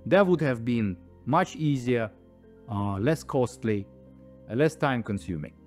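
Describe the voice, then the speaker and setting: A man in his thirties or forties, an audio designer, explaining products to camera on a lapel mic in a halting, emphatic way. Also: mid-range voice, animated, darkish tone. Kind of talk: explaining products to camera, semi-scripted talk. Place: indoor audio showroom. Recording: music playing behind the voice. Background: music.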